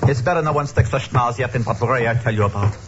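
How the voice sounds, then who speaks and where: An actor playing a fretful, kindly older deli owner with a New York Yiddish accent, pleading and emphatic, in an old radio drama. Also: male voice, mid-range voice, fast talker, close to an everyday voice. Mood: worried. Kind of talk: old-time radio acting. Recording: muffled, noisy old radio recording.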